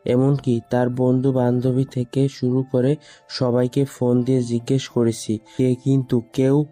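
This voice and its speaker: A man's voice: medium pitch, completely flat delivery, a little slow, warm tone, soft background music, close to an everyday voice. A man playing a worried elderly businessman father in a dramatized audio story. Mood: worried, pleading.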